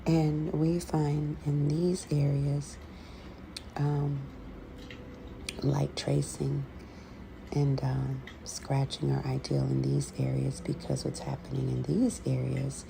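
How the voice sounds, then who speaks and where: A woman with a gravelly, creaky voice giving a halting, conversational explanatory voiceover as the host of an ASMR video. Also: very deep voice, flat delivery. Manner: unhurried, conversational, halting with pauses. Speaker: host, scratch therapy practitioner. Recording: clearly audible background noise.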